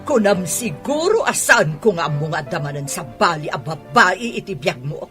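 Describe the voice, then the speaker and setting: A woman's voice: on the low side, sing-song, normal speed, over music. An actress in an Ilocano radio drama playing a fed-up, scornful woman who accuses and commands a cheating partner. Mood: bitter, accusing.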